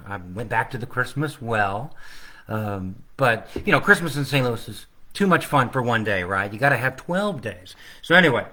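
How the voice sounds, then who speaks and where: A man's voice: mid-range voice, sharp tone. A man hosting a casual livestreamed storytime at home, reading his picture book aloud with chatty, rambling, playful asides to the audience. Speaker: children's author reading own book aloud. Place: at home, living room.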